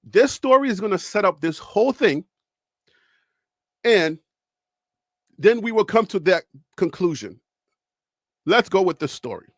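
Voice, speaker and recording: A man's voice: sits high, sing-song, unhurried, long pauses, no room sound. A man with a Liberian accent hosting a live sports talk stream from his home studio, talking straight to his viewers in a loose storytelling way. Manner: storytelling, chatty with audience. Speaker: host.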